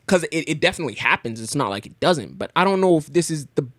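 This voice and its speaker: A young man from Canada, a podcast guest, talking things through in a thoughtful, halting way with many restarts. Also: on the high side, very animated, a bit bright, no echo, clean studio sound. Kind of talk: casual conversation.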